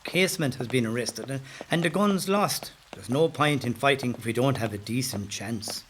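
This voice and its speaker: Irish male storyteller, acted by a man, mid-pitched, affable and wry, confiding audio drama monologue. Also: expressive, moderate pace, a bit bright, a little hiss or hum, natural voice. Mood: confiding, concerned. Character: affable, wry Irish rebel's brother recounting events. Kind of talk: first-person dramatic monologue.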